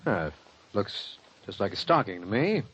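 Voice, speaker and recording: A skeptical district attorney. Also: male voice, fairly low pitch, sing-song, fairly bright tone, muffled recording, a little hiss or hum. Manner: brisk, doubting questions. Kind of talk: 1940s radio acting.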